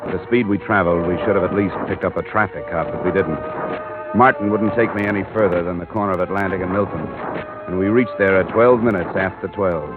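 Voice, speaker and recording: A man playing a terse, world-weary detective in a 1950s radio drama, with a clipped, deadpan delivery. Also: deep voice, fairly quick, lightly acted. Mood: grim, tense. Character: hard-boiled male detective, terse, world-weary, steady. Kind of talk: 1950s radio drama acting, first-person narration. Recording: muffled vintage radio recording, music behind.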